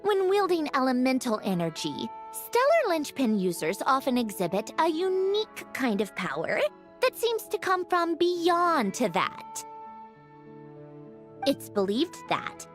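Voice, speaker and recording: A proud female puppet from a video game, lectures with haughty precision. Her voice sits fairly high and swings expressively, prickly at the edges, over background music. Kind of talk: scripted game cutscene acting. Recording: music behind the voice. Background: game soundtrack music.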